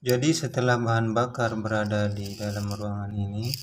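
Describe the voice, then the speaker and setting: A man's voice: fairly low pitch, monotone, moderate pace, a little hiss or hum. Man explaining a repair step by step. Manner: plain, explanatory, with frequent fillers and tag words. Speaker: small engine repair instructor. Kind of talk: hands-on repair tutorial.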